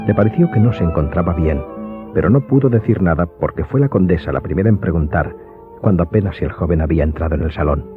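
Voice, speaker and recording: A man's voice: deep voice, expressive, fast talker. A man reading scripted dramatic narration as the narrator of a radio drama. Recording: muffled phone-like audio, music bed.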